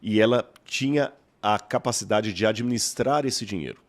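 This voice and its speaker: A man from Minas Gerais with a mineiro accent, explaining as a guest in a measured, lecturing way and posing rhetorical questions. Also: fairly low pitch. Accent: Mineiro Brazilian Portuguese. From Minas Gerais, Brazil. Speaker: guest scholar. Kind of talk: explanatory podcast interview.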